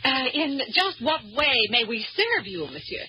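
An actress playing a poised, exacting newspaper editor with an American accent, brisk and teasing in an old-time comedy drama. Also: female voice, high voice, sing-song, pushed and bright, heavily acted. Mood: polite, eager. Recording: dull, noisy old broadcast.

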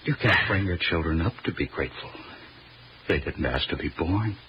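An actor playing a patient, loving middle-aged father and husband, earnest and halting, in old-time radio drama style. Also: low-pitched, animated. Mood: sober, reasoning. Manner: earnest, gently reasoning, halting. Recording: dull old radio recording, faint noise.

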